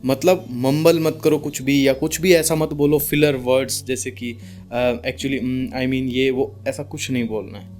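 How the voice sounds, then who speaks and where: A young man with an Indian accent talks like an informal speaking coach over faint guitar music. His voice is deep, with a mid-range pitch for a man. He stays calm and unforced, sprinkles in English words and pauses deliberately for emphasis. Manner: mixes English words into speech. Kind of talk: informal advice talk to camera.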